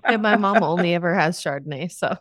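A woman's voice: lowish voice, fast, fairly bright tone, no echo. A woman co-hosting a podcast, dropping in brief, teasing remarks during casual chat. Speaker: podcast co-host.

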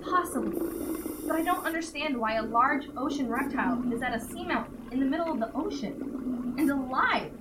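A high, swooping voice of a woman acting an excitable, stubborn scientist diver in an audio drama. She is earnest and insistent, caught from a distance through heavy noise. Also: moderate pace. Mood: puzzled, explaining. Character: excitable, stubborn female marine scientist diver awed by sea creature.

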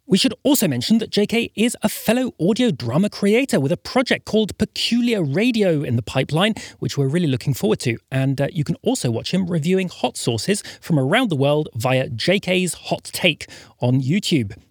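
Animated, husky male podcast presenter. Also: high voice, moderate pace, dry recording, studio quality. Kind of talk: scripted announcements and ad read.

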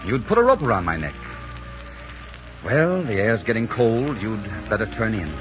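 Cocky smooth pirate boss, big swooping delivery, old muffled 1940s radio with music behind. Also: male voice, on the low side, big pitch swings, normal speed. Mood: cool, dismissive. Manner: suave, arrogant, theatrical. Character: swaggering, cynical pirate leader posing as respectable gentleman. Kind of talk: 1940s radio drama acting.

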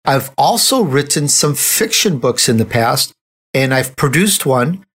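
A man's voice: medium pitch, sing-song, a little slow, a bit bright, husky. A man hosting a conversational interview, talking as an author among fellow writers. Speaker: interview host and nonfiction author.

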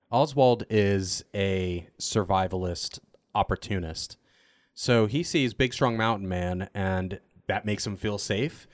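Man, very low voice, casual panel talk, dry close recording. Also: dry recording. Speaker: voice actor, cast member.

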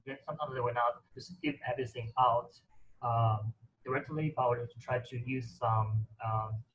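A man's voice: mid-range voice, lo-fi sound, slight background noise. A man whose first language is Mandarin, talking casually in English with many fillers and restarts. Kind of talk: casual conversation about reading.

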